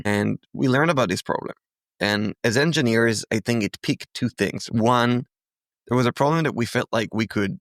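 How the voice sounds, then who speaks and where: A man's voice: mid-range voice, no echo, clean studio sound. A man speaking as an interview guest, a startup founder talking in a conversational, storytelling way.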